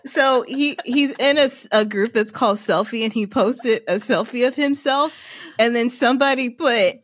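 A woman's voice: on the high side, normal speed, fairly bright tone. A woman co-hosting a podcast, talking in a casual, chatty style. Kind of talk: casual chat.

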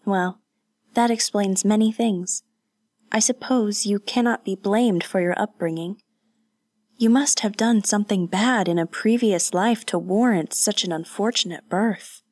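A woman voicing a sheltered, curious young noblewoman who speaks brightly and eagerly. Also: medium pitch, measured pace, no room sound, clean studio sound, close to an everyday voice.

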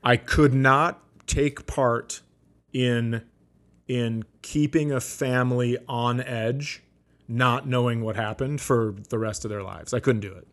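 A man hosting a comedy podcast, chatting casually with a deadpan, sardonic and sweary delivery. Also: mid-range voice, takes it slowly.

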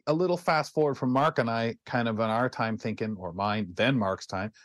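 A man's voice: mid-range voice, moderate pace, no echo, clean studio sound. A man hosting a casual panel chat in a rambling, conversational way. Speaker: talk show host.

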